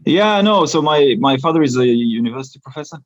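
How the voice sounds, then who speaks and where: A man's voice: mid-range voice, lively intonation, fast, no room sound, muffled recording. A man from Romania whose first language is Romanian, talking as a podcast guest with many fillers and restarts. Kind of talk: casual interview talk.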